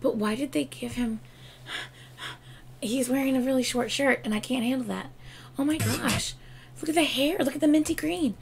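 A woman in her thirties, hoarse from losing her voice, chatting excitedly in a casual reaction vlog at her desk at home. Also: sits high, normal speed, long pauses, a little hiss or hum. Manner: excitable, chatty, gushing with laughs and exclamations. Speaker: K-pop reaction YouTuber. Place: home room with shelves of albums and collectibles, indoors. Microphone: webcam framing at desk.

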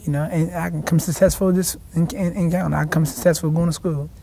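Fast-talking young man, halting. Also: fairly high pitch, flat delivery, gravelly, crowd noise. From South Carolina, raised in Washington, D.C. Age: young adult. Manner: halting, repeats and restarts words. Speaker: boxing champion guest. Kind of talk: casual TV interview answers. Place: TV studio set.

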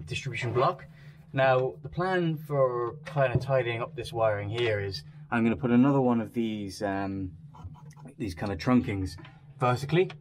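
A man's voice: mid-range voice, slight background noise. A man presenting a casual hands-on vlog in a small boat cabin, explaining his work and thinking aloud hesitantly. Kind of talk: casual explanatory vlog talk while working.

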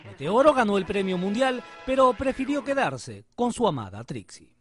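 A man with a high voice narrates a scripted TV feature. His delivery is lively and varied, over clearly audible music. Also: moderate pace.

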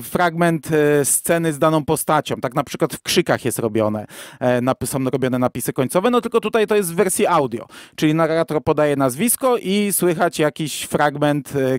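A man's voice: on the high side, no room sound, studio quality. A man hosting a podcast, explaining things in detail in a conversational way. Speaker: podcast host. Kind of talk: conversational podcast discussion of audio drama. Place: virtual studio, remote podcast recording.

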